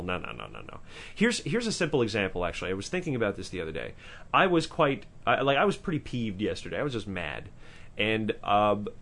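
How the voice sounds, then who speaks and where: Animated man with a powerful voice. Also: mid-range voice. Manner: animated, swearing, many restarts and asides. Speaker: podcast co-host. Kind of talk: casual discussion.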